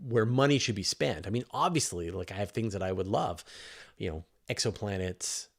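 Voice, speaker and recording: A man with a fairly low voice hosts a casual science Q&A livestream. He talks conversationally, leans hard on key words, and often restarts a thought to put it another way. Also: moderate pace, gravelly. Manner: emphatic, conversational, often rephrasing mid-thought. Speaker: host of a science question show; science journalist. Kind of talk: casual livestream Q&A, reading questions and answering off the cuff.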